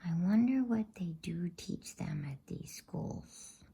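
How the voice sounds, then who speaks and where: A woman voicing an elderly male professor in a bedtime storybook reading, calm, logical and measured, in a soft, breathy voice. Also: mid-range voice, in a whisper. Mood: calm, muttering. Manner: measured, musing, pedantic.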